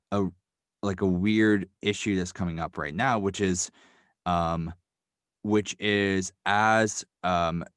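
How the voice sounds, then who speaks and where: Young male guest, very low register, halting reflective delivery, dry close mic. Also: dry recording. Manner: halting, thoughtful, many fillers and restarts. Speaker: podcast guest, writer and sound designer. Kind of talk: casual interview talk.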